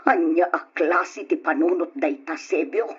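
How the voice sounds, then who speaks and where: A woman's voice: fairly low pitch, measured pace, slightly rough. A woman playing a protective middle-aged mother in a radio drama, earnestly reproving her husband. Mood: reproachful. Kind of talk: Ilocano radio drama acting. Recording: dull lo-fi old radio recording.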